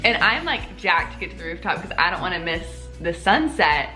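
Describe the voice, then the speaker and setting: A young woman telling a personal story in a casual chat with her husband, bubbly and giggly, with lively jumps in pitch. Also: fairly quick, faint music behind. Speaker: vlogger co-host.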